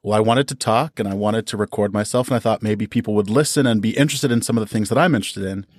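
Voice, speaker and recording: Male guest, slightly low pitch, conversational, articulate, enthusiastic, dry recording. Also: fast talker, no room sound. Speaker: podcast guest; storyteller and podcast creator. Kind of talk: conversational explaining.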